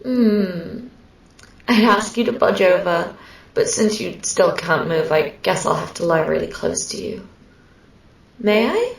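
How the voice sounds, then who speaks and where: Woman acting a slow, wistful demon girl, echoing. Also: fairly low pitch, lively intonation, creaky, recorded from a distance, full character voice. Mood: shy, hopeful. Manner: hesitant, halting, wistful, intimate. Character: lonely, clingy female sleep paralysis demon yearning to be real. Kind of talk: scripted ASMR roleplay addressed to a sleeping listener. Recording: roomy echo, set back from mic.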